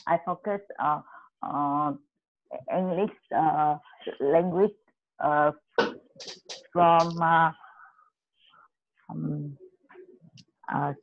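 A woman's voice: sits low, fairly even intonation, no echo. Hesitant woman on a dull video call. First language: Vietnamese. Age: 30s. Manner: hesitant, many pauses and fillers. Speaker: student. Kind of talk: halting answers in an online lesson.

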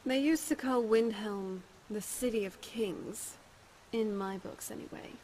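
A woman playing a young female bard companion in a fantasy video game, speaking in a measured, conversational, musing way. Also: medium pitch, a little mellow, a little hiss or hum, lightly acted, rough recording. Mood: wistful musing. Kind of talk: scripted video game dialogue.

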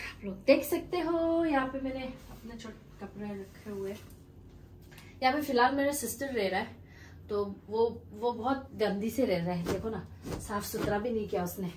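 A woman's voice: mid-range voice, a little mellow. A woman giving a casual, chatty home-tour vlog indoors, mixing in English words and phrases. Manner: informal Hindi mixed with English words and phrases. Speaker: vlogger giving a house tour. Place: indoors in small rooms at home. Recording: distant mic with faint room noise.

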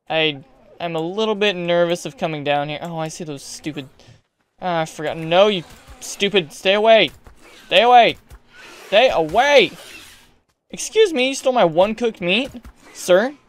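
A young man with a high, smooth voice chats his way through a survival game at his desk mic. He is excitable and shouts at pesky creatures. His voice is a bit hoarse, as if he is losing it. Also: normal speed, pauses often. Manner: chatty, excitable, yells at creatures. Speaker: gaming YouTuber. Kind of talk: casual gameplay commentary.

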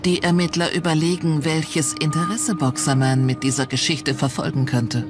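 Give a scripted true crime narration in a mid-pitched voice, as the narrator of a documentary. Music plays clearly behind the voice throughout. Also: little pitch movement, normal speed. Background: prominent background music.

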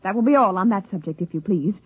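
An actress playing an imperious, impatient company inspector, giving curt, officious orders in a radio drama. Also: female voice, medium pitch, expressive, quick pace, husky, no echo. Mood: indignant, stern. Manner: curt, officious, clipped commands. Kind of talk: 1950s radio drama acting. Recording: dull 1950s radio recording.

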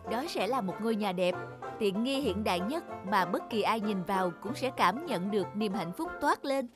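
A woman's voice: medium pitch, lively intonation, normal speed, music in the background, lightly acted. A woman voicing an earnest, polite young male architecture student in a cartoon moral tale, with neat enunciation. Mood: eager, polite. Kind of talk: animated moral tale dubbing.

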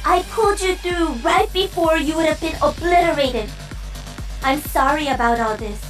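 A woman's voice: high voice, moderate pace, far from the mic, faint music behind, natural voice. A woman voicing a volatile, girlish digital pop idol in a video game. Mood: gentle, regretful. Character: volatile female digital K-pop idol AI, sweet then furious.

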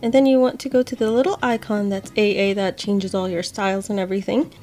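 A woman's voice: mid-range voice, flat delivery, fast talker, dark tone, soft background music. A young adult woman hosting a tech tutorial, explaining steps casually. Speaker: host of accessibility tutorial videos. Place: small home office, indoors.

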